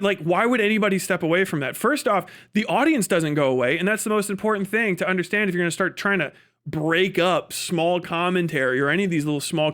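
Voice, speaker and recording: High-pitched man ranting casually on a livestream. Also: a bit bright. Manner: profane, emphatic, rambling. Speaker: streamer.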